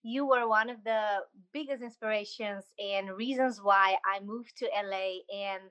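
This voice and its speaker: A woman's voice: medium pitch, a little slow, smooth. Enthusiastic Slovenian-accented woman. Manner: enthusiastic, gushing, animated. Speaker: podcast guest, dancer and choreographer. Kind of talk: casual interview chat.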